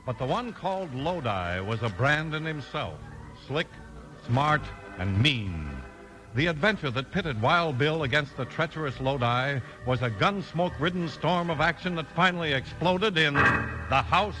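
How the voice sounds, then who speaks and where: An American man announcing in classic 1950s radio style. His voice sits a little high, and his scripted delivery is punchy and dramatic, with a salesman's push. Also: expressive, measured pace, music in the background, poor audio.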